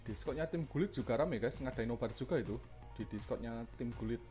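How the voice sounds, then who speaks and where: A man chatting casually with his stream viewers, relaxed and self-deprecating. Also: medium pitch, fast talker, faint music behind. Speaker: streamer co-watching a broadcast.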